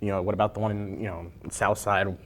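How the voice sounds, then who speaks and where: A man's voice: on the low side, level tone, fast, a bit bright. A man hosting a casual web interview, speaking informally with jokey asides. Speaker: interview host.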